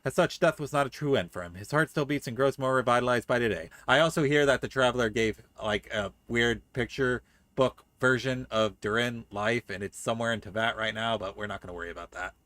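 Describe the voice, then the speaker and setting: A man's voice: mid-range voice, fairly quick, a bit bright, no echo. A man livestreaming a game, reading story dialogue aloud articulately and adding playful comments. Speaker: game streamer.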